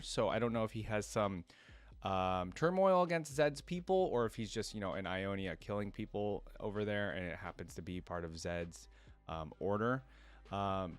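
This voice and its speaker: A man hosting a reaction video, reading aloud and commenting casually in plain, conversational phrasing. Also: lowish voice.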